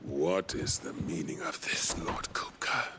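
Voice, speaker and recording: A man's voice: extremely low pitch, a little slow, in a whisper. An actor playing a stern, formal statesman in a video game, speaking in a courtly, pointed way. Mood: affronted. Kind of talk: video game cutscene acting. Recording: loud music under the voice.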